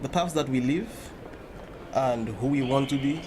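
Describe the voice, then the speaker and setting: A man's voice: medium pitch, normal speed, lightly acted. Man playing a kindly priest, noisy location sound. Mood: reassuring. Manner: gentle, reassuring, fatherly. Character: kindly, older-generation priest gently counselling a parishioner. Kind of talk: dramatic acted dialogue.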